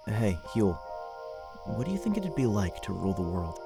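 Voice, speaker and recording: A man acts as a young male noble in an audio drama, very lively in his pitch. He banters casually and sarcastically, a thoughtful, irreverent friend, with light music behind him. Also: normal speed. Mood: musing, casual. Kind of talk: audio drama character acting.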